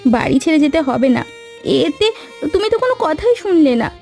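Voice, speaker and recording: A gentle young wife from a fairy-tale cartoon, played by a woman, earnest and dutiful. Her voice is high and soft-toned, with music behind it. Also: big pitch swings, normal speed. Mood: reproachful, urgent.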